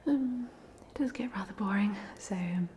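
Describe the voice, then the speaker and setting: Woman as a softly whispering, chatty ghost. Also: medium pitch, moderate pace, slight background noise, natural voice. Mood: amiable, chatty. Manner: whispered, hesitant, gently rambling. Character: polite, chatty headless ghost of a drowned Hogwarts student. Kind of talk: whispered ASMR roleplay.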